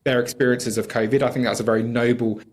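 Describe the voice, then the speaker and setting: British man, political interview guest. Very quick delivery, critical and emphatic, fluent arguer. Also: mid-range voice, steady tone. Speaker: campaigner, studio guest.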